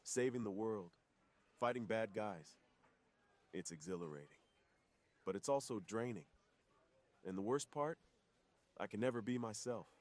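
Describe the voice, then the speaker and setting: A gentle, secretive male superhero, acted by a man in an intimate ASMR roleplay. His voice is fairly low, and he talks in an earnest, confiding way, weary of his double life. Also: a little slow, pauses often, natural voice. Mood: confiding, wistful.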